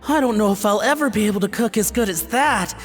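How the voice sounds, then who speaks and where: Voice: medium pitch, fast talker, long reverb tail, soft background music, lightly acted. A game character, a young kitchen worker, talking in an awed, unsure way. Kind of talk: video game voice acting.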